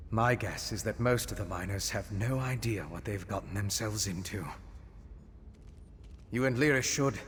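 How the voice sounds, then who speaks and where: Careful scholar in cavernous reverb. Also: male voice, lowish voice, a bit bright. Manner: measured, articulate, careful. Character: cautious, scholarly gentleman adventurer, polite and thoughtful. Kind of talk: video game voice acting. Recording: long cavernous reverb, faint background noise.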